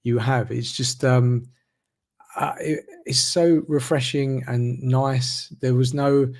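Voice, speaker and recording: Gravelly-voiced man hosting a live chat. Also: medium pitch, fairly even intonation, takes it slowly, darkish tone. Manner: rambling, repeats words, many fillers. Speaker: host. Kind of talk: casual live interview.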